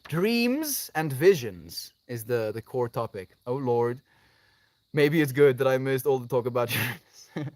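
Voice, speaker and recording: A man's voice: medium pitch, expressive, a little slow, darkish tone, no echo. A man hosting a livestream, chatting casually and effusively with his viewers and addressing them affectionately as friends. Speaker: live streamer, host. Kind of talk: casual livestream chat, responding to viewer comments.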